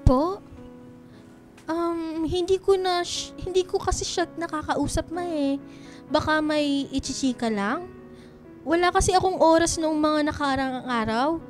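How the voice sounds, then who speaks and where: A woman playing an expressive young woman, a best friend and daughter, in a radio drama. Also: sits high, measured pace. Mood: subdued, evasive. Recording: music bed behind the voice.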